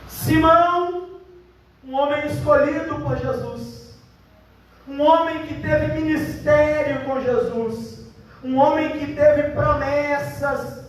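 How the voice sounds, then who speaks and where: A preacher with a high voice tells Bible stories dramatically, drawing out key words in slow, emphatic pauses. He sounds distant from the mic in a large church hall with heavy echo and a faint hiss underneath. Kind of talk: sermon at a prayer vigil. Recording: echoey hall, far from the mic, faint noise.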